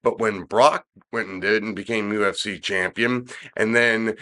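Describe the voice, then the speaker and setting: A man's voice: lowish voice, steady tone, moderate pace, pushed and bright. A middle-aged man with a slightly nasal, congested voice, chatting casually as a podcast host in a rambling, opinionated, colloquial way. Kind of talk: casual wrestling chat.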